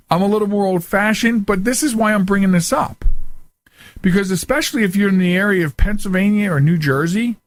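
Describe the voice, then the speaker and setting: A man's voice: a little high, no room sound. A middle-aged man hosting a talk show in a casual, rambling monologue, often interrupting himself and wandering off on asides. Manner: chatty, digressive, self-interrupting.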